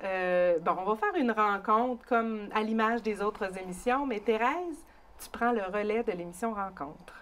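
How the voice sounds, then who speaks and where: A woman's voice: medium pitch, a little slow, fairly bright tone. Quebec woman hosting a TV interview. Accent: Quebec French. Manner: friendly, prompting questions, informal. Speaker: talk show host. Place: small community TV studio.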